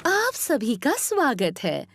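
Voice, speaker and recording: A schoolteacher announcing a school play in a children's cartoon. She is theatrical and unhurried, with lively pitch and clear music underneath. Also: heavily acted. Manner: theatrical, deliberate. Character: bright schoolteacher lady animal presenting children's play.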